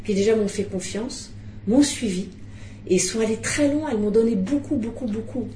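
A middle-aged woman director speaks about her film in a soft, mellow voice. She talks in an animated way and restarts her sentences often. An echoey room and faint background noise surround her. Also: a little high, normal speed. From Belgium. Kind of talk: press conference interview.

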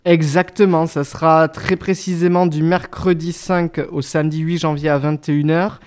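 A man's voice: fairly high pitch, level tone, a little mellow, clear, resonant tone. A man, an actor, describing his stage show in a radio interview, often restarting his sentences. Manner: halting, many restarts.

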